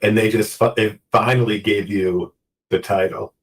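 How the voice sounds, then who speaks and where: Low chatty guy hosting, kinda far off the mic, joking around. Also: steady tone, normal speed. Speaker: host. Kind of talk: casual chat.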